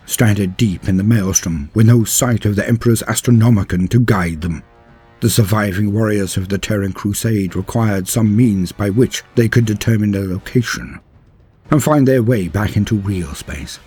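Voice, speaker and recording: A man with a low, rough-edged voice delivers dramatic scripted narration. His phrasing is measured and theatrical, stretching key words for emphasis. Soft music plays behind him. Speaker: lore narrator. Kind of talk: dramatic scripted narration of war fantasy lore.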